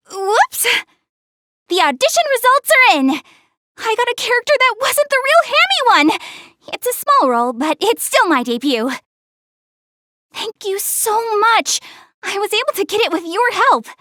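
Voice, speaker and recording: A woman voicing a proud, easily embarrassed young goddess girl in an anime video game, expressive and quick to get flustered. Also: high voice, no echo, clean studio sound, exaggerated voice. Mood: excited. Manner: expressive, easily embarrassed, hesitant then insistent. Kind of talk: English anime game voice acting.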